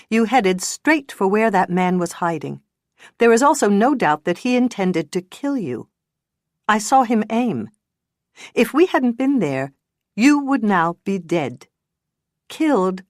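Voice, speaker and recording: A woman's voice: medium pitch, no room sound, clean studio sound, voice pushed up. A woman voicing a stern female police detective who questions without sympathy. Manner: firm, cold, pressing questions.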